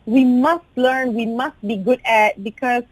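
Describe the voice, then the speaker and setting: A young Malaysian woman hosts a radio chat, talking in an animated, emphatic way and dropping "like" everywhere. Her voice sits high with a faint husky edge. The studio mic is close and dry. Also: measured pace, no echo, low-quality recording. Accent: Malaysian English. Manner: animated, emphatic, peppers speech with "like". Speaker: radio host.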